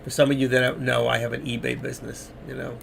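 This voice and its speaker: A man's voice: mid-range voice, little pitch movement, quick pace, vocal fry, fairly bright tone, a little hiss or hum. A middle-aged man moderating a trading live stream, rambling casually to viewers while losing his voice. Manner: rambling, chatty, talking to chat viewers.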